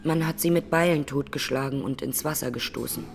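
A woman's voice: extremely low pitch, a little fast, vocal fry, faint music behind. Solemn woman survivor giving testimony. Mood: grave, sorrowful. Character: grieving Armenian woman, massacre survivor testifying in court. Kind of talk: radio drama courtroom testimony.

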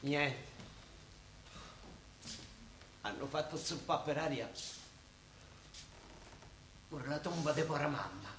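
A man's voice: a little high, animated, unhurried, fairly bright tone. A man acting in a Roman-dialect radio play as a weary, blunt working-class father. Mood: weary, downcast. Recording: roomy stage sound, faint noise.

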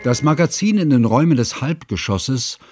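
An actor playing a bookish, self-conscious scholar who recalls his youth in measured, ornate literary narration for a radio play. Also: mid-range voice, expressive, a little mellow, faint music behind, lightly acted. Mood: admiring. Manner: measured, formal, ornate phrasing. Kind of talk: literary first-person narration, German radio drama.

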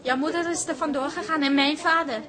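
A woman's voice: high voice, fairly even intonation, a little fast, a crowd in the background, close to an everyday voice. An actress playing a brash, blunt teenage girl who talks in youthful slang in a radio drama. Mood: defiant. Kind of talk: naturalistic radio drama acting.

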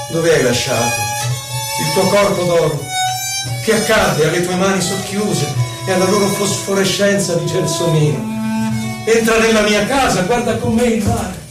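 Exiled poet, nostalgic and solemn, male actor: high-pitched lyrical recitation, set back in a roomy space with violin. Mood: tender, nostalgic. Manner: dramatic, lyrical, solemn delivery. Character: exiled poet, nostalgic, lovelorn, wandering within himself. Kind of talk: theatrical poetry recital with violin. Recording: roomy, distant voice under violin music. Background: violin music.

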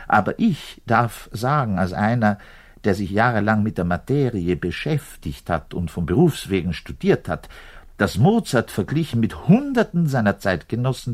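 An actor playing a pompous, aggrieved middle-aged orchestra double bassist, delivering a theatrical, emphatic radio monologue in a husky voice. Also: male voice. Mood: condescending, insistent. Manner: pompous, emphatic, didactic, swinging between declaiming and muttering.

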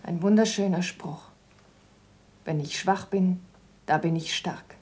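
A woman's voice: fairly low pitch, takes it slowly, a little mellow, slight background noise. An actress playing a devout, dutiful grown daughter caring for her old father, speaking earnestly and carefully in a German radio play. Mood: pious. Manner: earnest, careful reading aloud.

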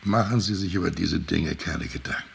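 An actor playing a dry, shrewd, casually corrupt official in a radio drama, with a gravelly, creaky voice and matter-of-fact, wry manner. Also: male voice, sits low, brisk, slight background noise. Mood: businesslike, sly. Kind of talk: radio drama dialogue in German.

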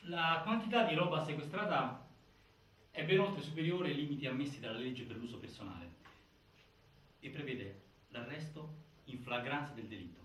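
A man giving dramatic scripted narration, deliberate and full of pauses, recorded from a distance in a very reverberant space. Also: on the high side, fairly bright tone. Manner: deliberate, with pauses and repeated phrases. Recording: very echoey stage, distant mic, faint noise.

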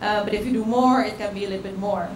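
Chatty woman, flat voice, echoey hall. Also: medium pitch, fast talker. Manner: chatty, full of "like" fillers. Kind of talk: casual panel discussion. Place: event venue. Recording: echoey hall with background noise.

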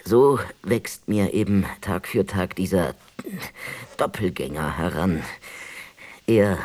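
Ailing male scholar in radio drama: very slow, lowish voice, halting, introspective. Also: lively intonation, a little mellow, full character voice. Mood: brooding. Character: ailing male archaeologist brooding on death over his translation. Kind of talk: introspective radio play monologue.

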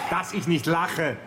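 An actor playing a stubborn, irascible company boss in a radio drama, blunt, scornful and emphatic. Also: male voice, a little high. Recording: noticeable background ambience noise.

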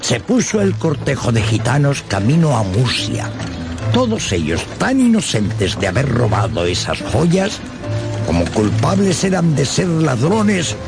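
A man's voice: medium pitch, wildly swooping pitch, a little slow, vocal fry, over music. A man narrating a dramatized literary tale for radio in a theatrical, emphatic storytelling manner.

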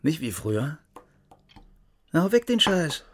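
An actor playing a boozy, distrustful former East German officer in a radio drama, chummy and fragmentary, with a German accent. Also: male voice, a little high, sing-song, slow, a little hiss or hum. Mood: sour, wary. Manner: chummy, short fragmentary sentences. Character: boozy, maudlin, distrustful former Stasi officer drinking at home.

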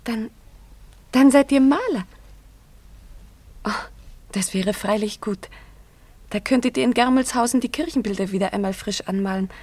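A woman's voice: a little high, normal speed, long pauses, dry recording, lightly acted. An actress in a radio drama playing an earnest, naive young village girl with a hesitant manner. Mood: shy, hopeful. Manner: hesitant, earnest, often hushed. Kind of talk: German radio drama acting.

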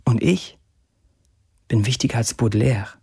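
An actor playing a defiant, self-mocking young Syrian man in a declamatory, deliberate audio drama monologue. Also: mid-range voice, creaky, warm tone, husky. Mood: boastful. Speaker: actor performing an author's social media posts.

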